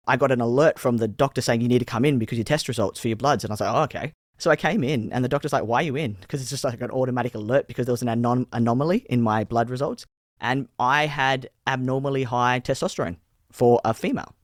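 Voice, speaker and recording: Man, Australian accent, low voice, podcast host, earnest, casual chat, dry sound. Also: fast, no echo.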